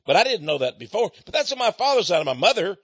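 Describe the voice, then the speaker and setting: Folksy, chuckling American man, higher voice. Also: sing-song, fast talker, a bit bright, husky, dry recording, muffled recording. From United States. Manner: folksy, digressive, chuckles often. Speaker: speaker addressing listeners. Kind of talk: rambling personal monologue.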